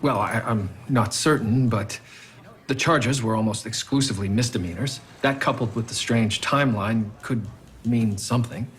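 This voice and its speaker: An actor playing a methodical male police detective who questions people in a measured, probing way. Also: medium pitch, fairly bright tone, a little hiss or hum, close to an everyday voice. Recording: TV drama with music behind.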